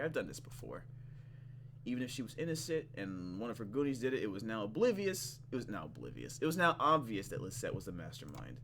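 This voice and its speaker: A man hosting a gaming video, reading story text aloud with casual, playful commentary and expressive reactions. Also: lowish voice, noisy background. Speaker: gaming channel host.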